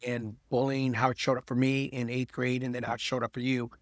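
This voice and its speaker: A man's voice: medium pitch, flat delivery, brisk, no echo, clean studio sound. A man hosting a podcast with his daughter, chatting loosely and joking with many fillers. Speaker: podcast host. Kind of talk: casual chat.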